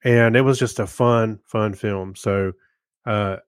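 A man co-hosting a podcast, reviewing things casually in a halting, self-correcting way. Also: lowish voice, fairly even intonation, smooth, dry recording, clean studio sound. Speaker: podcast co-host.

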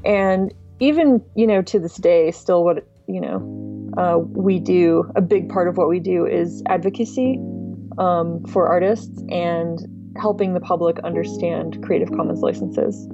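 A woman giving measured, explanatory answers as a guest in a podcast interview. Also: on the low side, music in the background. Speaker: podcast guest, music archive director. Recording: dull lo-fi sound.

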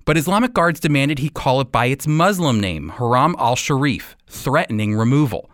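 A man with an American accent hosting a radio program, teaching the Bible in an emphatic, deliberate way. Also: mid-range voice, lively intonation, fairly bright tone, no echo, clean studio sound.

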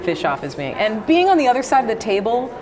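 A woman's voice: a little high, very animated, quick pace. A woman speaking as a competition juror in a casual interview, with an enthusiastic, effusive manner. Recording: busy crowd chatter behind. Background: crowd chatter.

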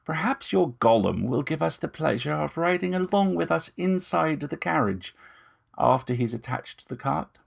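A man's voice: a little high, animated, moderate pace, gravelly, breathy edge, pitched above the performer's own voice, heavily acted. A man voicing a corpulent, genteel vampire in an audio story, unctuous, formal and menacing. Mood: smug, menacing. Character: corpulent, genteel, predatory male vampire with ragged breath.

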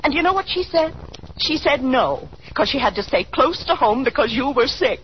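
A woman's voice: sits high, animated, normal speed. An actress playing a middle-aged wife and mother in a 1930s radio drama, insistent, bitter and plaintive as she nags. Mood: bitter, reproachful. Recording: dull, noisy 1930s radio recording.

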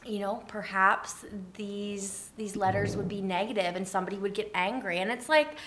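A woman's voice: mid-range voice, moderate pace, background noise. Woman guest on a podcast, chatting casually, earnest, explains stuff informally. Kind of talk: casual conversational interview.

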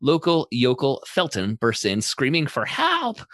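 A man's voice: mid-range voice, huge pitch swings, a little slow, a bit bright, no room sound, clean studio sound. A middle-aged man hosting a podcast in a conversational style. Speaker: podcast host.

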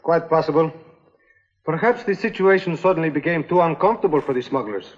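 An actor playing a polite, formal police lieutenant in a vintage drama, speaking deliberately on a dull old recording. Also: male voice, a little high, animated, natural voice. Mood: calm, courteous. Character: courteous, measured foreign police lieutenant, a man. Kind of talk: old-time radio drama acting.

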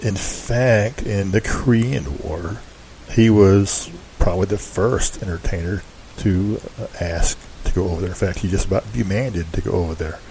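A man hosting a show, giving casual, unscripted background commentary in a halting, rambling, hedging way. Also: low-pitched, vocal fry, a little mellow, low-quality recording. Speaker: host introducing an old-time radio show. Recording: faint background hiss.

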